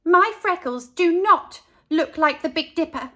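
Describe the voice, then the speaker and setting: A woman's voice: very high voice, expressive, unhurried, bright, forceful tone, voice pushed up, full character voice. A woman voicing an offended young schoolgirl in a storybook, with a firm, indignant manner.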